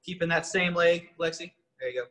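A man's voice: fairly high pitch, fairly quick, a bit bright. A young man leading an online workout class from a gym, giving upbeat, encouraging stretching cues. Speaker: fitness coach. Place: large gym with rigs, indoors. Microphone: far camera. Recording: echoey gym room.

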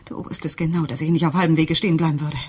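An actress playing a distraught, accusing wife in a German radio crime drama, with a husky, breathy voice. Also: female voice, sits low, little pitch movement, racing, darkish tone. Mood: bitter, accusing.

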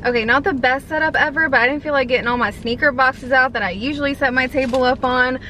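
A woman's voice: high voice, fairly even intonation, fast talker, pushed and bright, slight background noise, low-quality recording. A young woman from North Carolina with a light Southern accent, chatting casually in a rambling vlog from inside her car. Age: young adult. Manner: rambling, filler-heavy with lots of "like". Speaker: food vlogger. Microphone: camera on the dashboard.

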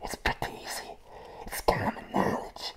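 A young man playing a crazy, eager circus clown in a whispered ASMR roleplay, breathy and obsessively repeating words. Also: moderate pace, natural voice. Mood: insistent.

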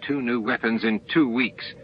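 A man's voice: mid-range voice, steady tone, normal speed, muffled recording, faint music behind, close to an everyday voice. A man reading the part of an eager, technically minded male starship engineer in an emphatic, explanatory manner. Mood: keen explaining.

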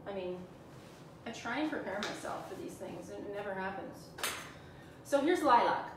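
A woman's voice: medium pitch, lively intonation, moderate pace, noisy background. Canadian woman in her forties, chatty and self-teasing crafts host, echoey room, mic far off. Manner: chatty, self-teasing, talks to viewers while working. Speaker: furniture painting tutor. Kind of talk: live painting demo chat. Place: small room indoors, sitting on the floor. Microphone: camera on a stand a few feet away.

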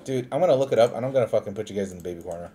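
A man streaming live, reading on-screen captions aloud and reacting with loud, profane excitement and laughter. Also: lowish voice, very fast, a little hiss or hum.

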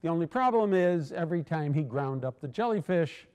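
Older male lecturer, higher voice, reverberant hall, storytelling with fillers. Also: animated, brisk, a little mellow, clean tone. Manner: storytelling, frequent "uh" fillers and restarts. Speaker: keynote lecturer. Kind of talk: academic lecture. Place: large lecture hall, at a podium. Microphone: podium microphone.

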